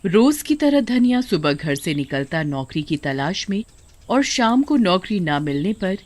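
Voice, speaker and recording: A woman's voice: fairly low pitch, big pitch swings, a little slow, darkish tone. A woman narrating a scripted moral story as its storyteller.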